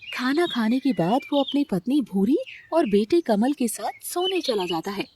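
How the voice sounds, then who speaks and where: A woman's voice: a little high, sing-song, moderate pace, dark tone, a little hiss or hum. A woman narrating a scripted cartoon story as its storyteller. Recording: music behind the voice.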